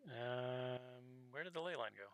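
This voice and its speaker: A man's voice: medium pitch, unhurried, slight background noise. A man giving casual gameplay commentary to viewers, talking through what he is doing. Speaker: game streamer. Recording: loud game music behind voice. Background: loud video game music.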